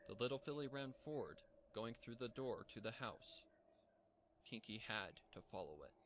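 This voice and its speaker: A man's voice: medium pitch, expressive, moderate pace, fairly bright tone. A man reading a scripted story as a dramatic narrator, with a noisy background. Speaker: fan fiction narrator.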